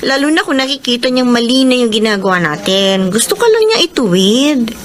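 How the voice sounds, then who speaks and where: A woman's voice: a little high, big pitch swings, a little fast. A woman playing a caring, frank young best friend in a Filipino radio drama, earnestly chiding, with a Filipino accent. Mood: gently chiding. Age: young adult. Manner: chiding, earnest advice-giving. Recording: faint noise and ambience.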